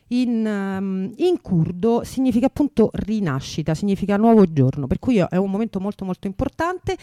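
A woman from Italy presents upcoming events on a radio show. She talks informally and with enthusiasm, digressing as she goes, in a medium-pitched voice. The sound is very dry. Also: mellow, dry recording. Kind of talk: chatty event announcements, unscripted.

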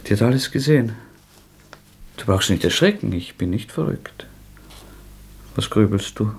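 An actor playing a tormented young murderer in a radio play, feverish and bitter, swinging between scorn and despair. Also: male voice, on the low side, lively intonation, moderate pace, slight background noise. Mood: brooding, scornful.

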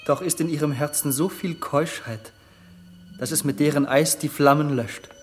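Guy playing a young romantic suitor in an old-style radio drama, earnest, fairly high voice, music under. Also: normal speed, lightly acted. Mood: ardent, earnest. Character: earnest young male lover defending his beloved. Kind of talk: classical radio play acting.

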